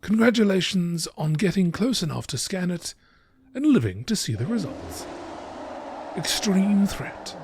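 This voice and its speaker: A man reading scripted nature documentary narration in a measured, deliberate, dramatic manner. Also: high-pitched, very animated, slight background noise. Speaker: narrator reading game lore entries.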